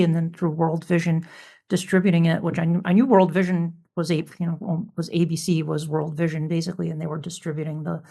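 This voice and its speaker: A woman's voice: lowish voice, vocal fry, darkish tone. A woman hosting a podcast, asking questions in a casual, chatty interview style. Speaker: podcast host.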